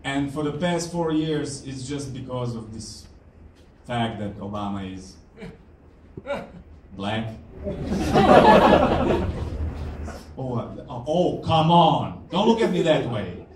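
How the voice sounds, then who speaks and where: A man from Croatia with a Croatian accent and Croatian as his first language, doing a wry, self-deprecating stand-up set with halting restarts. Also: medium pitch, sing-song, darkish tone, distant mic, a little hiss or hum. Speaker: stand-up comedian. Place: comedy club stage. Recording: echoey club taped from afar with crowd.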